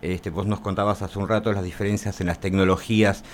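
A man putting questions in a conversational, unhurried way as a radio interviewer. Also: low-pitched, flat delivery, a little hiss or hum.

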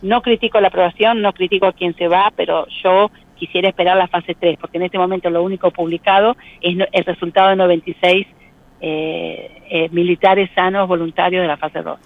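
A woman with a provincial Buenos Aires accent explaining things as an expert interviewee, in measured, hesitant phrasing. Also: lowish voice, fairly even intonation, no echo, phone-quality sound. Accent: provincial Buenos Aires Argentine. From Argentina.

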